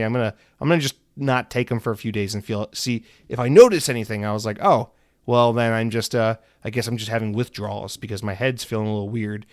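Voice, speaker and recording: A man's voice: mid-range voice, no room sound, clean studio sound. Tired man hosting a solo gaming podcast. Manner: rambling, loose and profane. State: coming down with a head cold, tired. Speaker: podcast host. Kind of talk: casual solo podcast chat.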